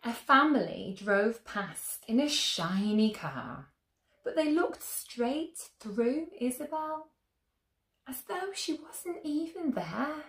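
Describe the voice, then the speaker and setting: A young woman reading a picture book aloud to children as a storyteller, expressively, with gentle questions to her listeners. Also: high-pitched, sing-song, slow. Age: young adult. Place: small room at home, indoors. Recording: roomy indoor echo.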